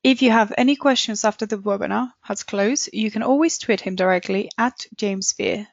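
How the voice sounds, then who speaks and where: A woman's voice: medium pitch, normal speed, creaky, no room sound. A woman hosting a webinar, reading a formal, scripted introduction. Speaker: webinar host. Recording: dry dull lo-fi audio.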